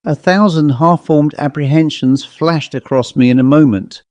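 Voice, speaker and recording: A man's voice: on the high side, moderate pace, darkish tone, no echo. Male narrator, dry close sound. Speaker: audiobook narrator. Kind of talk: scripted literary narration of a Victorian ghost story.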